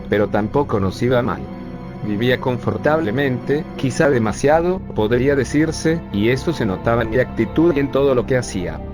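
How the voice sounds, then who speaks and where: A man's voice: mid-range voice, lightly acted. A synthetic male voice voicing a professor who bitterly recalls his days as a young refugee. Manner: bitter, sarcastic storytelling. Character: urban planning professor recalling his youth. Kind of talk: scripted narration. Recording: music bed underneath.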